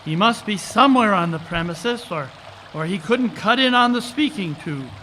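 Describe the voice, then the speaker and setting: A man performs a keen detective in an old-time radio play. The voice is high for a man and forceful, with precise, formal phrasing. He sounds unflappable yet eager as he reasons, over faint noise in a live recording. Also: expressive, normal speed. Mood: urgent. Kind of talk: old-time radio drama acting, live stage reading. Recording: live conference recording, background water noise.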